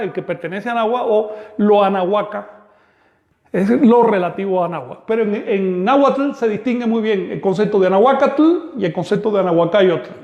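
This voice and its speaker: A man giving an online language lesson, explaining terms slowly and deliberately. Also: high voice, darkish tone. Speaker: language teacher. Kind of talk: online lecture. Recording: echoey room.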